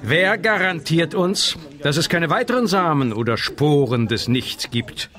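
A man's voice: on the high side, animated, a little slow, a bit bright. A man playing a kindly, careful scientist professor in a children's audio drama. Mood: cautioning. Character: kindly, cautioning male scientist professor with playful humour.

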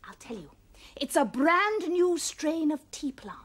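A woman's voice: high-pitched, expressive, unhurried. A woman voicing a tyrannical, power-hungry cartoon queen who speaks imperiously and drops into hissing whispers. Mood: gloating. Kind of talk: cartoon voice acting.

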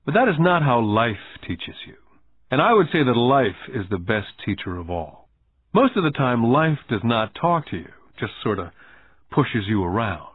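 A shrewd, unruffled businessman mentor acted by a male narrator lectures in measured, pointed phrases. The pitch rises and falls widely and the voice has a husky grain, in an audiobook that sounds muffled and phone-like. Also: no room sound. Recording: muffled, phone-like narrow band.

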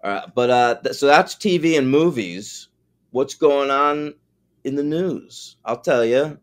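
Casual male podcast co-host, mid-pitched, lively and foul-mouthed, easygoing banter. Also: measured pace. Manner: animated, profane, joking.

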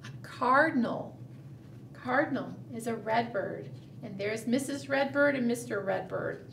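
A middle-aged woman leading a preschool storytime, animated and sing-song, coaxing small children with questions. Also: a little high, a little slow, creaky. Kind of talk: storytime read-aloud and chat addressed to small children. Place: small indoor room, seated at a table. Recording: roomy, distant mic, faint room noise.

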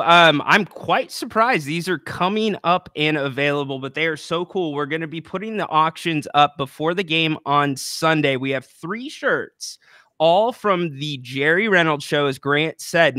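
Bright, crisp young male co-host. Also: a little high, dry recording, studio quality. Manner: upbeat, promotional, brisk play-by-play recap. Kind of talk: casual postgame sports chat. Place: small room with a plant and a banner. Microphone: podcast microphone on a boom arm.

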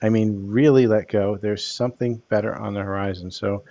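Calm, soothing older man reading cards. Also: fairly low pitch, no room sound, muffled recording. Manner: calm, soothing, unhurried delivery. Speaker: tarot card reader. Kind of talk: live-stream card reading. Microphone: headset.

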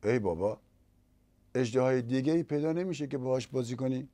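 A man voicing a curious, sporty little girl in a children's story, in a bright, lively way. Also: mid-range voice, dry recording, voice pushed up, close to an everyday voice.

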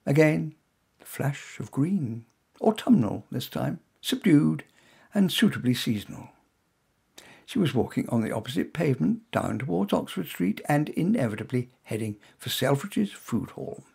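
A man's voice: medium pitch, big pitch swings, moderate pace, creaky, a little mellow, slightly rough. A man reading his own first-person short story in a wry, self-mocking way.

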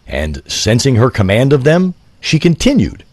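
A man's voice: mid-range voice, wildly swooping pitch, moderate pace, darkish tone, no room sound. A man narrating an audio drama in a grandiose, literary, theatrical style. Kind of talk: theatrical scripted story narration.

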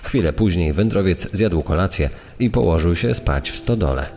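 A man's voice: low-pitched, expressive, normal speed, a little mellow, soft background music. A man reading a scripted crime report as a narrator. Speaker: reader of newspaper report. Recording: long hall-like reverb.

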